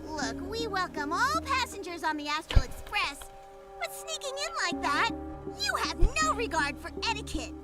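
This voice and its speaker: A woman's voice: extremely high pitch, very animated, moderate pace. A woman voicing a small, prim conductor creature in a video game, cute and fussy about etiquette. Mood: fussy, indignant. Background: loud game music.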